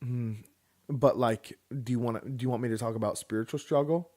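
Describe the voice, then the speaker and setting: A man in his thirties co-hosting a relaxed home podcast. He rambles, circling back with fillers and restarts as he reads out listener questions. His voice sits at an ordinary middle pitch. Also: fairly quick. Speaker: podcast co-host. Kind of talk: casual chat.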